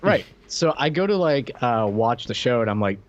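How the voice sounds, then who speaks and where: A man co-hosting a casual podcast who tells stories in an animated way. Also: medium pitch, moderate pace, slight background noise. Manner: animated storytelling, laughs often. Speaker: podcast co-host. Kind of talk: casual chat. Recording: slightly muffled lo-fi audio.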